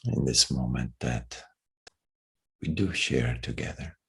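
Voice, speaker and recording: Very deep, husky man guiding meditation. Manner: hushed, unhurried with long pauses. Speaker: meditation guide. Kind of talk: guided meditation.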